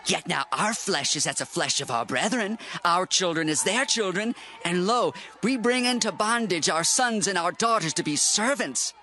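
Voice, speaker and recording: A man voicing a lamenting, bright-voiced townsman. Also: high-pitched, animated, normal speed, few pauses, slight background noise. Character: grieving man of the people, lamenting bondage. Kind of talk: dramatized scripture reading.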